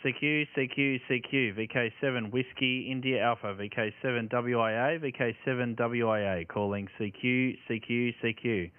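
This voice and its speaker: A man's voice: mid-range voice, steady tone, normal speed, few pauses. An Australian man working as an amateur radio operator, making calls and chatting casually on a livestream. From Tasmania, Australia. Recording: tinny band-limited audio with loud radio hiss. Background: radio static and hiss.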